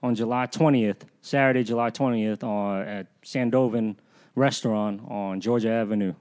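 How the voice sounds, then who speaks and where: A man's voice: lowish voice, fairly even intonation, a little mellow. A man who talks casually as a podcast guest, rambling and stumbling over his words. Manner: rambling, halting. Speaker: comedy producer, podcast guest.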